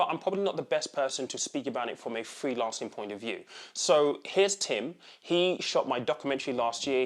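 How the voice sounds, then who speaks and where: A man, a channel host, chatting to camera and repeating words for emphasis. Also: mid-range voice. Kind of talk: casual talk to camera.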